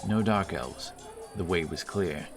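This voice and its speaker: A man narrating a scripted fantasy audiobook in a measured, dramatic way. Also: deep voice. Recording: music bed under voice.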